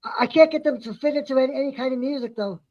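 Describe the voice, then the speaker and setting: Guest, woman, high voice, talks fast, self-deprecating and hesitant, dull lo-fi sound. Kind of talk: casual chat.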